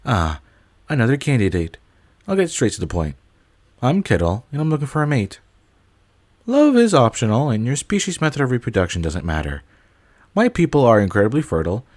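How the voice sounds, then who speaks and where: A man's voice: medium pitch, big pitch swings, brisk, pauses often, darkish tone, no room sound. A man voicing a blunt, eager alien seeking a mate, speaking in a clinical, formal way in an audio roleplay.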